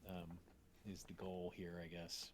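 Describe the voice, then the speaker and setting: A man's voice: low-pitched, monotone, measured pace. A man chatting casually on a stream as a co-host giving feedback, choosing his words thoughtfully.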